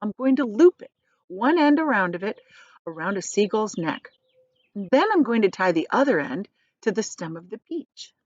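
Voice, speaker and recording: A woman voicing a calm, determined young boy in a children's storybook, explaining things earnestly and confidently. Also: mid-range voice, normal speed, dry recording, highs cut off. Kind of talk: children's storybook character reading.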